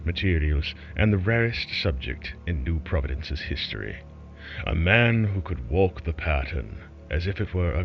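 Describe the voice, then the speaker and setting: A man voicing a stern government official in a horror story, reading a letter in a formal, measured, pompous manner with veiled menace. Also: low voice, dull audio.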